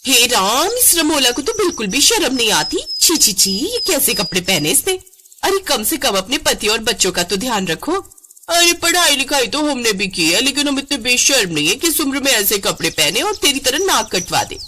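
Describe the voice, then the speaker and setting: A woman's voice: a little high, big pitch swings, moderate pace, sharp tone, slight background noise, pitched above the performer's own voice. A woman voicing gossiping neighborhood women in a cartoon story, exclaiming with scornful disapproval. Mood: scandalised scorn.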